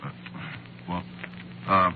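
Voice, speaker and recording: An American actor playing a wry, put-upon screenwriter in a 1940s radio drama, talking in a halting, conversational, sardonic way. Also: male voice, natural voice. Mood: exasperated, flustered. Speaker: narrator and lead actor. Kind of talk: 1940s radio acting, conversational first-person narration. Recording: muffled, noisy old radio recording.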